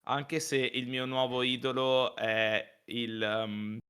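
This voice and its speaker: A man with a bright, crisp voice at a middle pitch chats casually. His manner is playful, the kind of co-host who slips English phrases into the conversation. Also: steady tone, takes it slowly. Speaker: live-reading co-host. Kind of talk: casual chat.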